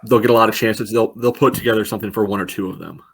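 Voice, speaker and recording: A man's voice: lowish voice, steady tone, rapid-fire, vocal fry, husky. A man giving casual sports analysis as a podcast panelist, in loose phrasing padded with filler phrases. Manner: loose phrasing with frequent "you know".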